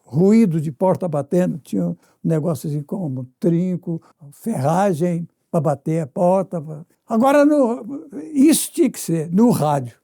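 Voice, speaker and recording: A man with a Brazilian accent, explaining and reminiscing casually in a halting, demonstrative way. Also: sits high, animated, creaky, warm tone, no echo, clean studio sound.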